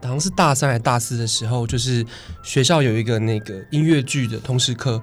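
A young man with a Taiwanese Mandarin accent speaks as an interview guest. His voice is medium in pitch and his delivery is casual. There is music behind him. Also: brisk. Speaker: guest, stage singer and actor. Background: music under the talk.

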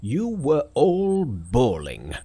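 A man voicing a stern, elderly male spiritual master in a storybook reading, scolding in a loud, deliberate way. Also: on the low side, very animated. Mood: angry.

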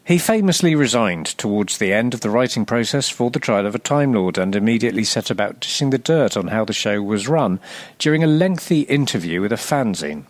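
A man reading a scripted, humorous narration as a podcast presenter, with a wry, deadpan, sardonic delivery. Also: fairly low pitch, fairly quick, no room sound.

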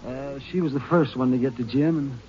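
An actor playing a folksy, talkative small-town druggist in an old radio western, rambling and excitable. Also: male voice, mid-range voice, brisk, close to an everyday voice. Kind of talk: 1950s radio drama acting. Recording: dull lo-fi old radio recording.

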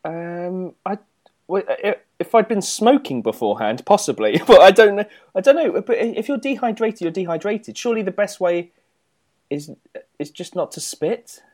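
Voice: mid-range voice, sing-song, normal speed, a little mellow. A co-host in casual podcast chat, giggling often and asking squeamish questions.

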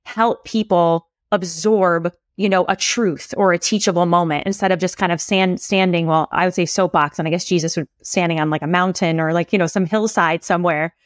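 A woman's voice: on the low side, steady tone, brisk, few pauses, no echo, studio quality. A woman talking as a guest on a podcast, a copywriter and storytelling coach, chatting in a loose way full of filler words. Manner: chatty, lots of "like" and "you know" fillers. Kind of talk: casual podcast conversation.

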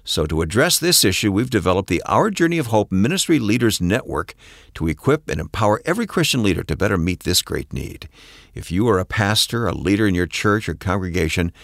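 A man co-hosting the show, reading an announcement with smooth announcer delivery. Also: lowish voice, sing-song, brisk, a bit bright, no echo, clean studio sound. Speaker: co-host.

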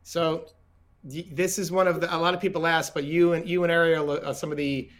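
A man's voice: on the high side, flat delivery, a little fast, fairly bright tone. Give me a laid-back podcast host from New with a slightly raised voice. He asks questions and adds his own asides in a friendly back-and-forth. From Staten Island, New York. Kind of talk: casual interview chat.

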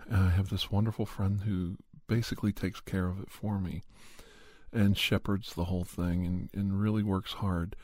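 A man who makes ASMR videos, talking casually to camera from home into a condenser studio mic, his voice worn down by a cold and his talk unhurried and meandering. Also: on the low side, no echo. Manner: unhurried and meandering, soft at times. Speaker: ASMR channel creator and podcaster. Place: at home, indoors. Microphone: large condenser studio mic.

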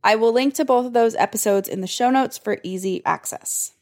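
A woman hosts a podcast about fiction writing, her voice a touch hoarse and froggy. She explains each point in an upbeat, orderly way, as if reading from prepared notes. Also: fairly high pitch, fairly quick, a little mellow. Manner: upbeat, clear step-by-step explaining. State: slightly hoarse. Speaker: podcast host and writing coach. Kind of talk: scripted podcast teaching.

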